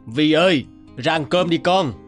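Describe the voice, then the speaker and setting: A man voicing an easygoing middle-aged father in an animated family tale, with music behind. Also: fairly high pitch, normal speed, natural voice. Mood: casual, concerned. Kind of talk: animated folk-tale dubbing. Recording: music under the voice.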